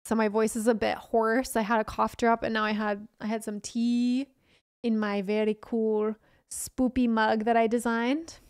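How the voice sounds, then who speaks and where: A hoarse young woman streamer, chatting casually and rambling to her viewers at home. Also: fairly high pitch, little pitch movement, unhurried, darkish tone. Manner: chatty, rambling, talks to chat. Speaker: game streamer. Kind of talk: casual live-stream chat.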